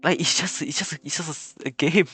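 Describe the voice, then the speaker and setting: A man chatting casually on a game stream, halting and often repeating his words. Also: a little high, fairly bright tone, slightly rough, soft background music. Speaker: live streamer.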